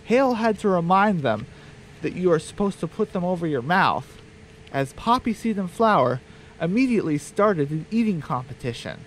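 Casting: male comic narrator, slightly high register, very animated intonation, scripted storytelling. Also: normal speed, poor audio. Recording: faint background noise.

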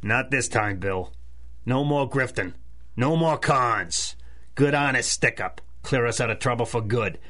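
A man's voice: mid-range voice, unhurried, bright, forceful tone. An actor playing a hard-nosed, money-minded stick-up man in a 1930s-style radio crime drama, terse and curt. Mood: determined.

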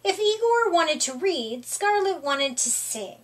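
A middle-aged woman reading a children's picture book aloud in an expressive, deliberate storytelling manner. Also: extremely high pitch. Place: small room, indoors.

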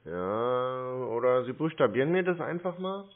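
A man on a phone call, relaxed and playfully stalling, slowly spelling things out to a caller. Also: medium pitch. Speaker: scam-baiting call recipient. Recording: phone-line audio.

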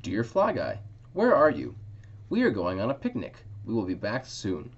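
A man reading aloud voices a cheerful young boy who loves his pet fly, in a bright sing-song way. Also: lowish voice, wildly swooping pitch, moderate pace, husky, lo-fi sound, heavily acted. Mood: affectionate.

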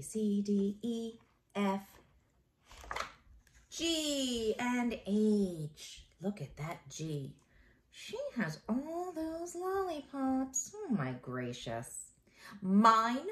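A middle-aged woman, an elementary school teacher, reading a picture book aloud to young children in an expressive, encouraging way and pausing to ask them questions. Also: fairly low pitch, vocal fry.

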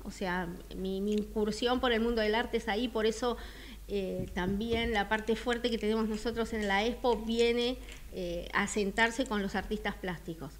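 A woman's voice: medium pitch, fairly even intonation, moderate pace, slight background noise. A middle-aged woman with a Buenos Aires accent, talking casually as a radio interview guest, hesitant and agreeable. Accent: Buenos Aires Argentine. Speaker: interview guest, art expo organizer. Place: small radio studio. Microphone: close studio microphone with headset.

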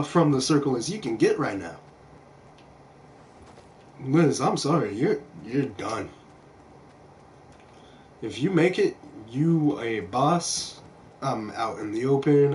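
Slow-paced young man streaming a game, noisy recording. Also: medium pitch. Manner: laid-back, self-deprecating, sweary. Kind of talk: casual gameplay commentary. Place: indoor room at home.

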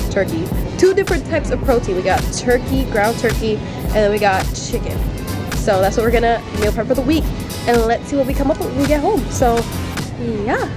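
A young woman in her twenties vlogging her meal prep, talking casually and slangily straight to a phone camera. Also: on the high side. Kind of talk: casual vlog chat. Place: grocery store, then home kitchen. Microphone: handheld phone camera at arm's length.

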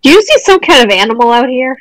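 A woman's voice: high-pitched, big pitch swings, quick pace, fairly bright tone, dry recording. A woman chatting casually and reading script lines as an amateur on a video call. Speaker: table read participant. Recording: noticeable noise on video call.

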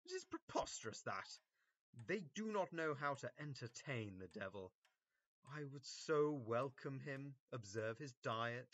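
Guy acting a pompous gent in an old play, declaiming slow and theatrical, kinda high voice. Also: sharp tone, dry recording, dull audio. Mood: eager, indignant. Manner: theatrical, declamatory, self-important. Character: pompous, self-absorbed gentleman longing to meet the devil. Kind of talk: early modern stage comedy monologue.

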